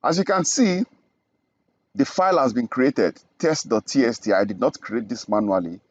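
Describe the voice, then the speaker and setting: A man's voice: medium pitch, expressive, moderate pace, breathy edge, no echo, lo-fi sound. Man talking through a coding lesson. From Nigeria. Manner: explains step by step, often adds "okay?". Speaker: programming instructor. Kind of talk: screen-recorded coding tutorial.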